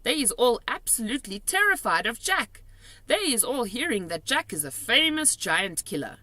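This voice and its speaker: A woman puts on a kindly giant from a storybook. He talks earnestly in homespun, mangled grammar, with a bright tone and plenty of rise and fall. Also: a little high, sing-song, normal speed, no room sound, heavily acted. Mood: earnest, explaining. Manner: muddled grammar, explaining earnestly. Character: kindly male giant with muddled homespun speech.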